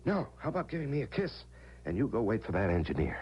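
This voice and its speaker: An actor playing an affectionate but evasive husband in an old radio drama, hesitant and reassuring. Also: male voice, mid-range voice, sing-song, fast talker, lightly acted. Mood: eager, breezy. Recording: dull, noisy old radio recording.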